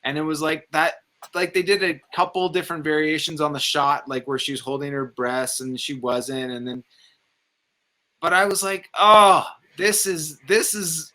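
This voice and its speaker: A man's voice: a little high, steady tone, moderate pace, bright, forceful tone. A man talking casually about movies, opinionated and rambling, with some swearing. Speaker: film review show panelist.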